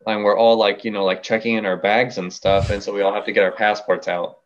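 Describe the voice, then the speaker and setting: A man's voice: on the low side, flat delivery, brisk, highs cut off, slight background noise. A young man in his late teens, a guest musician chatting casually and playfully bragging on a podcast. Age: young adult. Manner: laid-back, playful boasting.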